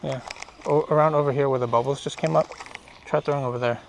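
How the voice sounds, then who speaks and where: A young man casually commenting while fishing from a small boat on a lake, easygoing and upbeat, getting excited over each catch. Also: mid-range voice, fast, vocal fry, a little hiss or hum. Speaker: fishing vlogger. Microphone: action camera on the boat.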